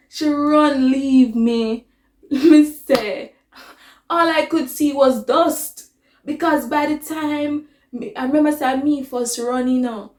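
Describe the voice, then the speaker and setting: Female vlogger, Jamaican accent, high voice, unhurried dramatic storytelling with touches of patois, roomy echoey sound. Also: darkish tone. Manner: dramatic retelling that slips into patois. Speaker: vlogger telling a personal story. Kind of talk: casual animated storytelling.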